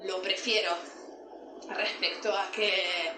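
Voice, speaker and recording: Slow, laughing woman. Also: mid-range voice, fairly even intonation. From Italy, Piedmont. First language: Italian. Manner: hesitant, laughing often, many fillers. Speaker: guest. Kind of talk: recorded testimony, casual chat. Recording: echoey room with noise.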